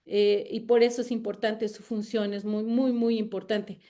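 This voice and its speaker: A woman giving a thoughtful academic talk as an invited researcher, often pausing and repeating words while she searches for them. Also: medium pitch, level tone, mellow.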